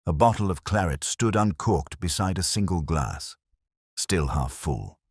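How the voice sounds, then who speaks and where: Male actor as melancholy older detective narrator for radio mystery. Very low, dry close sound, deliberate, with lively melodic movement. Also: slightly rough, no echo, exaggerated voice. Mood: brooding, reflective. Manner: measured, brooding, deliberate. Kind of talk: scripted literary mystery narration, radio drama style.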